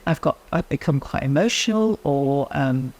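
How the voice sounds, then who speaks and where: A middle-aged woman, a guest in an interview, speaking in a quiet, measured way as she gives advice. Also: rumbling low, expressive. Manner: soft-spoken, measured, advice-giving. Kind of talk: interview conversation.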